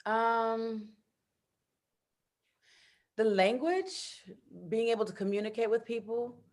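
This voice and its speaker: An American woman in her thirties chatting in an interview, animated, laughing often and using plenty of fillers. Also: fairly high pitch, takes it slowly. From United States. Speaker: interview guest, expat in Brazil. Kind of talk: casual interview chat.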